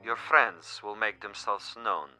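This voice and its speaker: An actor playing a sly, falsely friendly agent in a radio drama, insinuating and elaborately courteous. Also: male voice, lowish voice, a little slow, bright, forceful tone, faint music behind, low-quality recording. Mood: sinister courtesy.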